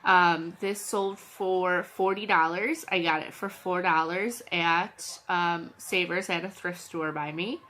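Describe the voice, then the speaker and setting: A woman's voice: lowish voice, fairly even intonation, slow pace, few pauses, sharp tone. A woman with a cold chatting casually to her channel's viewers, scattered and full of ums and restarts. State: has a cold. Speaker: channel host, reseller. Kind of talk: casual vlog chat.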